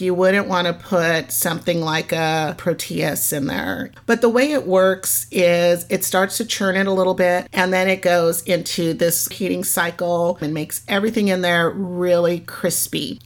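Scratchy-voiced woman podcaster, lowish. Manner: rambling, chatty, laughs at herself. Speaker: podcast host. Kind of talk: casual solo podcast monologue.

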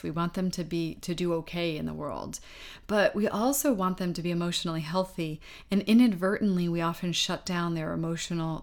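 A middle-aged woman co-hosts a parenting talk show in a relaxed, conversational way. Her voice sits a little low for a woman. She speaks earnestly, often breaks off and restarts mid-sentence, and laughs at herself now and then. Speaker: parenting podcast co-host. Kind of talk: casual conversation.

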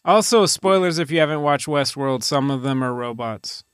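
A man's voice: mid-range voice, moderate pace, a bit bright, clear, resonant tone, no echo, clean studio sound. A man co-hosting a podcast, chatting casually and joking dryly. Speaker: podcast co-host. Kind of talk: casual banter.

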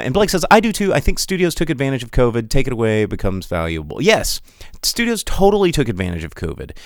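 A man hosting a casual solo podcast, rambling with many restarts and animated asides while he reads chat. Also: medium pitch, dry recording, studio quality. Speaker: podcast host.

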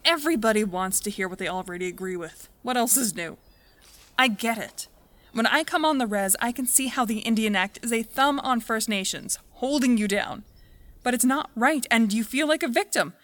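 A woman's voice: a little high, lively intonation, normal speed, fairly bright tone. An actress playing a blunt, skeptical woman who argues as a taxpayer. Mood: defensive. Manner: argumentative, blunt. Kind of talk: audio drama acting.

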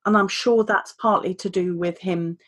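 Podcast guest: woman speaking trainer, measured and articulate, mid-range voice. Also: medium pitch, fairly even intonation, a bit bright. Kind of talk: conversational interview.

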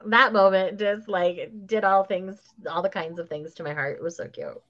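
A woman co-hosting a casual book podcast, talking with enthusiasm, laughing at herself and trailing off softly at the ends of thoughts. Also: medium pitch, steady tone, moderate pace. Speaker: podcast co-host. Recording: slightly dull lo-fi sound.

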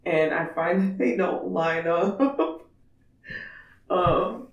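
A man with a raspy voice chatting candidly and emphatically as a podcast co-host. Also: sits high, drawn-out words, highs cut off. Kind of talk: casual opinionated podcast chat. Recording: distant mic in echoey room.

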